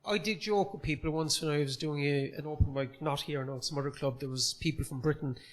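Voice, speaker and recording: A man's voice: a little high, fairly even intonation, a little fast. A man in his forties with a Cork accent, a comedian guest chatting on a live podcast, rambling through self-deprecating asides. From Cork City, Ireland. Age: 40s. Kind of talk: casual podcast chat. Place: comedy club, live recording.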